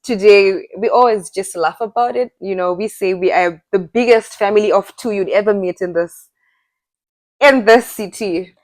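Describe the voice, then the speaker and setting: A woman's voice: mid-range voice, moderate pace, a little mellow, dry recording, clean studio sound. A young woman from Zimbabwe co-hosting a podcast, chatting casually and telling a story with lots of laughter. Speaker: podcast co-host. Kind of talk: casual chat.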